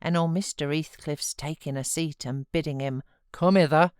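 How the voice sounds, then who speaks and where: A woman with a British accent giving measured, expressive literary narration as an audiobook reader. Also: deep voice, smooth, dry recording, studio quality.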